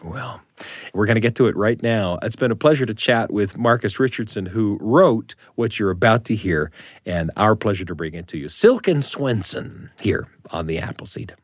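A man's voice: fairly low pitch, lively intonation, moderate pace, dry recording. A man hosting a radio show from a studio with an upbeat manner, laughing easily as he chats. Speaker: host.

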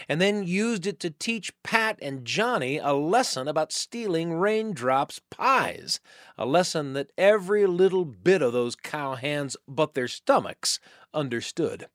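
A man's voice: fairly high pitch, fairly bright tone, dry recording. Folksy male radio host, close dry sound. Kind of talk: scripted storytelling narration.

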